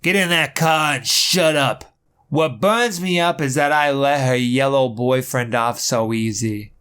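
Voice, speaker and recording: Man as a gravel-toned hoodlum, very low, gruff, comic dub. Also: a little slow, fairly bright tone, natural voice. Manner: gruff, growling. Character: surly male gangster thug.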